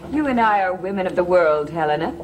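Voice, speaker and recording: An actress playing a sly, mocking society gossip in a gothic soap opera, arch, needling and condescending. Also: female voice, lowish voice, expressive, quick pace, a little hiss or hum, lightly acted. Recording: music behind the dialogue.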